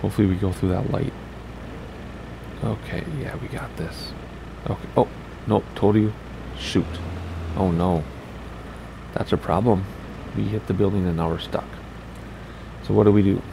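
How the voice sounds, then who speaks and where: A low, gravelly man talks his way through a flight sim run, chatty and thinking aloud as he goes. His voice is raspy, and a steady hiss of noise sits under the recording. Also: normal speed, pauses often. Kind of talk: casual gameplay commentary.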